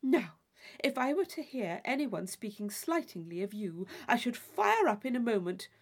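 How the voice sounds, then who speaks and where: A woman's voice: on the high side, very animated, moderate pace. A woman voicing a gushing, theatrical young society lady in a classic novel reading, effusive, affected and intense. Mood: emphatic, self-important.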